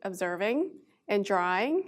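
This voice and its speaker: A woman's voice: medium pitch, very animated, a little slow. Reflective American woman, conversational. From Boston, United States. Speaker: interview guest. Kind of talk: conversational interview answers.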